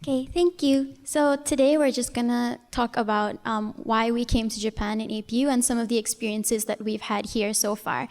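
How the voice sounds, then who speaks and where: A woman's voice: on the high side, long reverb tail. A young woman from Trinidad and Tobago, a student moderating a panel and asking upbeat questions. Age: young adult. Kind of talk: panel discussion.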